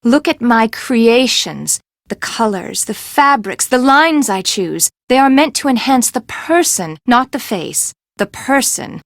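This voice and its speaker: A woman's voice: on the high side, big pitch swings, unhurried, few pauses, dry recording. Eccentric woman designer, imperious, theatrical, emphatic, dry close studio sound, video game character. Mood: pompous, intense. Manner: haughty, dramatic, emphatic delivery.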